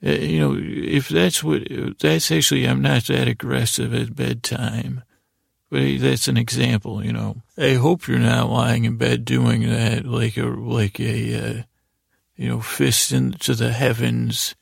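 Very creaky-voiced man rambling at bedtime. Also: medium pitch, little pitch movement, dry recording, studio quality. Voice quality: very creaky, gravelly. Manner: meandering, halting, self-deprecating. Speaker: podcast host.

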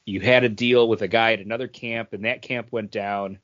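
A man's voice: fairly low pitch, steady tone, brisk, a bit bright. A man co-hosting a podcast, chatting casually through a comic book review in a rambling way that repeats phrases as he thinks. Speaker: podcast co-host. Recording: dull lo-fi podcast audio.

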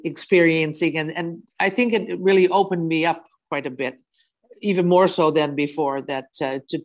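A guest in a casual interview whose first language is Dutch speaks in a halting way, often restarting while searching for words. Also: mid-range voice.

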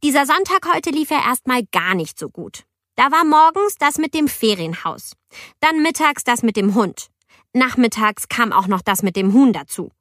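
A woman's voice: a little high, normal speed, no echo, studio quality. A woman voicing an indignant ten-year-old girl who narrates her misadventures expressively in an audiobook. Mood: exasperated, lively. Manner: expressive, youthful, exasperated. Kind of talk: audiobook first-person narration.

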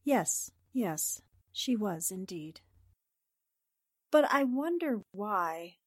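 A woman's voice: medium pitch, sing-song, no room sound, clean studio sound, exaggerated voice. A woman playing a poised, inquisitive newspaper reporter who speaks politely and precisely, in 1940s radio drama style. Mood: thoughtful. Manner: polite, precise, deliberate questioning.

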